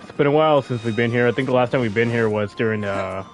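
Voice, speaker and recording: A man's voice: mid-range voice, fast talker, a little hiss or hum. A man live-streaming a video game, chatting in a loose, rambling, informal way. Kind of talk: casual live-stream chat while playing. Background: faint game music.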